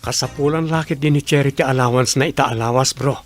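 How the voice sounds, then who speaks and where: A man's voice: medium pitch, fairly even intonation, brisk, music in the background, lightly acted. A man with an Ilocano accent playing a devoted, generous young village suitor in a radio drama. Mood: persuasive.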